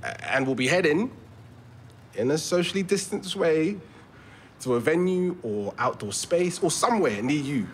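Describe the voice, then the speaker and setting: A man's voice: on the high side, lively intonation, normal speed, natural voice. An actor playing an eager, earnest young London theatre actor in an audio drama, overenthusiastic and stumbling over his words, with a London accent. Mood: earnest, pleading. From London, England. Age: young adult. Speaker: actor in a fiction podcast. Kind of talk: naturalistic audio drama dialogue. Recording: faint ambient background noise.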